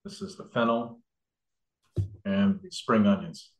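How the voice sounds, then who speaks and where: A man's voice: lowish voice, unhurried, darkish tone. A man demonstrating a recipe in a kitchen, explaining each step casually with many fillers and restarts. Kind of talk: casual cooking demo. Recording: echoey room.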